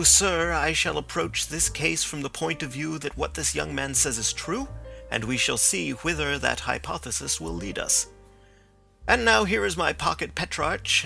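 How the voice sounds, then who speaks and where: A man reading the part of a sharp, confident male detective in a storybook, brisk and assured. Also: a little high, creaky.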